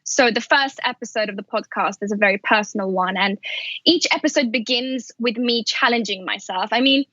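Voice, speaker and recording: A woman's voice: fairly high pitch, fairly quick, bright, forceful tone, dry recording, dull audio. A woman who grew up in Afghanistan, Pakistan and Saudi Arabia, talking candidly and with animation as a journalist and podcast host being interviewed. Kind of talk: conversational interview. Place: remote call from a house in London.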